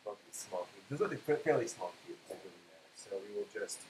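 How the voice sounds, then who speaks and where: A man talks viewers through a recipe in a casual, chatty way, his pitch moving around in a lively fashion as he works. The kitchen sounds echoey, with clear noise behind him. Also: fast. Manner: chatty, explains step by step while working. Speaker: cooking live-stream host. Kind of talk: casual cooking demonstration. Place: indoor home kitchen.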